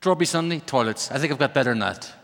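A man's voice: mid-range voice, expressive, racing, vocal fry, a bit bright. A middle-aged Irish man, a guest preacher and missionary, joking with the congregation and building to a rousing, emphatic sermon. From Ireland. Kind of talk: humorous sermon. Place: large church sanctuary. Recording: long reverb of a large hall.

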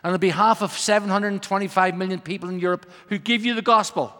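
A middle-aged man with an Irish accent preaches with a high, bright, ringing voice. He jokes and then rises to emphatic calls. His words echo with the long reverb of a big church hall. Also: fairly even intonation, quick pace. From Ireland. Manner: joking, then rousing and emphatic. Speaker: guest preacher, missionary. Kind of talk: humorous sermon. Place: large church sanctuary.

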